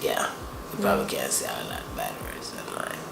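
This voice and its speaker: A man's voice: very deep voice, animated, fairly quick, creaky, a bit bright, roomy sound, soft background music. A man from Jamaica with a Jamaican accent, explaining his language on a casual couple vlog and repeating himself while searching for words. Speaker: co-host explaining Jamaican phrases.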